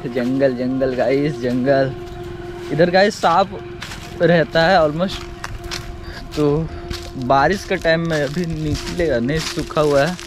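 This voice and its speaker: A man's voice: fairly high pitch, slow, long pauses, over music. A young man chatting casually to viewers while walking through dry scrub woodland by a road, filming with a phone held at arm's length and addressing his audience constantly. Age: young adult. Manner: chatty, repeats "guys" often, addresses viewers. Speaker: vlogger. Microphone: phone camera at arm's length, selfie framing. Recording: clear outdoor noise behind.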